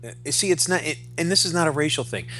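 A man's voice: a little high, lively intonation, fast talker, sharp tone, a little hiss or hum. A man in his forties co-hosting a casual pop culture discussion, speaking emphatically, repeating phrases for stress and swearing freely. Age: 40s. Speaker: podcast co-host.

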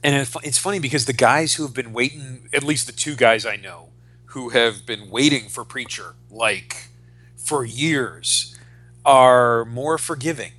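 A man in his forties with a bright, forward voice of middling pitch. He talks casually, swears freely and acts things out as he retells them, like a fan on a podcast. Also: unhurried. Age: 40s. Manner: animated retelling with acted-out quotes. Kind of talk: casual, profane podcast chat about comics.

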